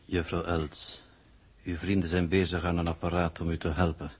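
Deep, husky, detached alien coordinator. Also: male voice, level tone. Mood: formal, calm. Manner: formal, detached, measured. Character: cold, formal, analytical alien male coordinator. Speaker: radio drama actor. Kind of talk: 1960s Dutch radio play acting. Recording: 1960s radio drama recording.

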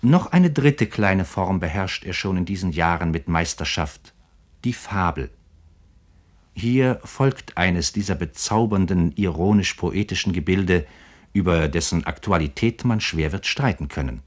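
A man's voice: sits low, expressive, a little slow. A man narrating a literary radio programme in a formal, articulate, scripted 1950s German broadcast style.

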